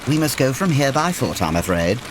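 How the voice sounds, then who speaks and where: Guy playing a victorian detective, proper and precise, very animated intonation, audio comedy, noisy rainy backdrop. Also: medium pitch, brisk, natural voice. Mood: polite, firm. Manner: formal, precise, gently pompous. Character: composed, courteous Victorian gentleman detective. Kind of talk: comedy audio drama acting. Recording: noisy, rain-like hiss behind.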